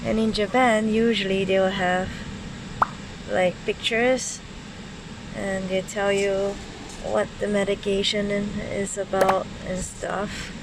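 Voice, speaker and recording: A woman with a sore throat and a fading voice, narrating a frank personal vlog on a handheld phone while waiting in a hospital, her voice often dropping low and soft. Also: level tone, slow. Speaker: vlogger. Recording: noisy ambience with air conditioning hum.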